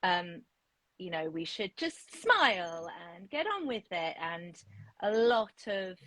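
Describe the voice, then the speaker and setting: A woman in her forties, a picture book author, talking in a relaxed interview with many restarts and fillers. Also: medium pitch, slow pace, no room sound, poor audio. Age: 40s. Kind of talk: casual interview chat.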